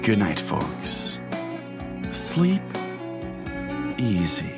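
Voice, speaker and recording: Slow, low-voiced male storyteller. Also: wildly swooping pitch, creaky. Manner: deliberate, pausing. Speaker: podcast host and storyteller. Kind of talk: dramatic first-person story narration. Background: music.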